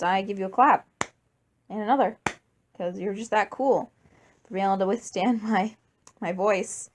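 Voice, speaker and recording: Female fan fiction reader, medium pitch, amateur storyteller and casual talker. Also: a little mellow. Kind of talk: scripted story narration and casual chat.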